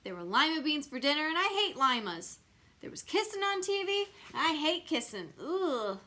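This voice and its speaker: Woman as grumpy little boy, bright sharp voice, fairly high, whiny, indignant, storybook complaining. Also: big pitch swings, moderate pace, a little hiss or hum, exaggerated voice. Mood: disgusted, whiny. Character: grumpy, sulky young boy complaining about his bad day.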